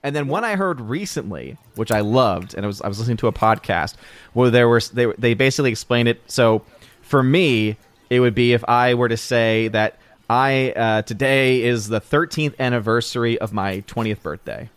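A man's voice: mid-range voice, normal speed, clean tone, no echo. A man hosting a casual livestream, greeting viewers in the chat by name with playful hails. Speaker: livestream host.

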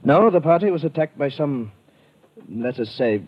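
Husky British colonial police lieutenant. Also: male voice, on the high side, lively intonation, moderate pace. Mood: brisk briefing. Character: brisk British colonial police lieutenant, stiff-upper-lip. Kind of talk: old-time radio drama acting. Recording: dull old radio recording.

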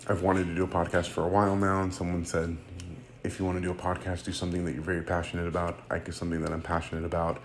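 A man's voice: deep voice, completely flat delivery, racing, a little hiss or hum. A man, hosting a casual solo sports podcast and rambling with fillers and self-corrections. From United States. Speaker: podcast host.

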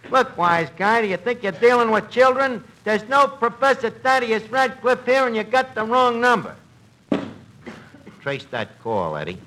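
A man's voice: very high-pitched, sing-song, moderate pace, bright, forceful tone, heavily acted. An actor playing a gullible, blustering New tavern manager in 1940s radio comedy, wisecracking and hesitant while mangling his grammar. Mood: exasperated, blustering. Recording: noisy old radio broadcast recording.

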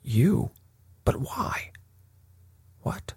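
A man's voice: fairly low pitch, a little slow, warm tone, dry recording, exaggerated voice. A man voicing a haggard, bewildered nobleman in an audiobook, flustered and spluttering. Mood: agitated, bewildered. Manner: flustered, breathy exclamations.

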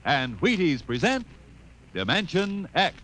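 A man's voice: a little high, big pitch swings, measured pace, heavy background noise. A man delivering an enthusiastic, emphatic 1950s radio commercial as an announcer. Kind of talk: 1950s radio advertising pitch.

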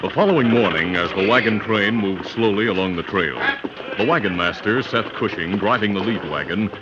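Deep-voiced actor as old-time western radio narrator. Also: male voice, heavy background noise, close to an everyday voice. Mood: dramatic, steady. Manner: measured, dramatic storytelling. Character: grave male narrator of a western adventure serial. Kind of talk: 1940s-50s radio drama narration. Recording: dull vintage radio recording with music.

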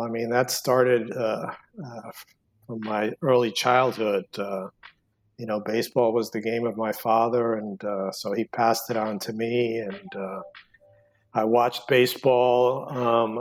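A man in his sixties from Cuba, a book author answering interview questions in a halting way with many fillers and restarts. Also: medium pitch. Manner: hesitant, full of fillers and restarts. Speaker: guest author. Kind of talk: podcast interview.

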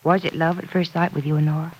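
An actress playing a gentle, sensible, sympathetic woman friend who reassures tenderly and in measured words in an old radio drama. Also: low voice, level tone, natural voice, low-quality recording. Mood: wry, friendly. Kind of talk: 1940s radio drama acting. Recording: old radio recording with background noise.

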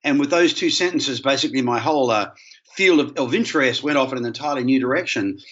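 This man has an Australian accent and a mid-pitched voice. He answers questions at an even pace, with a thoughtful, measured manner and pauses between phrases. His sound comes through a dull, lo-fi remote recording. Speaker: guest historian. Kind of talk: podcast interview answers.

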